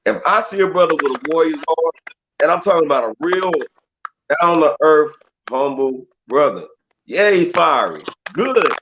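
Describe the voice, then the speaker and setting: A man's voice: a little high, animated, moderate pace, highs cut off. A man, a guest on a talk stream, speaking like a drill instructor about training and leadership, and listing training terms. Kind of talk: casual chat. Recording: noisy call audio.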